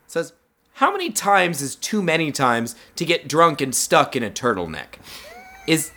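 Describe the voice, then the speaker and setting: A tipsy man riffing on a comedy podcast in a high, bouncy voice with a bright, forceful edge. He rambles and swears freely, and a little music sits faintly behind him. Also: huge pitch swings, normal speed. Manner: rambling, swears a lot, laughs often. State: tipsy from cider. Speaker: podcast co-host. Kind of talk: crude comedy banter.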